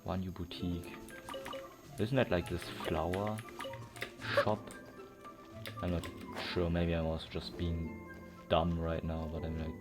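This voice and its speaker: A man with a very low voice chatting through his gameplay, rambling and amused, filling gaps with "like" and "um". Game music plays clearly underneath him. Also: level tone, measured pace, pauses often. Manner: rambling, laid-back, amused, with filler words. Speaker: gamer. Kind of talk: casual gameplay commentary. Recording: voice over loud game music.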